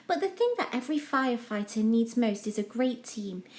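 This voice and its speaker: A friendly storybook firefighter acted out by a woman, reassuring and encouraging. Her voice is fairly high and animated, in an echoey room. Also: moderate pace, natural voice. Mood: explaining. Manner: upbeat, reassuring.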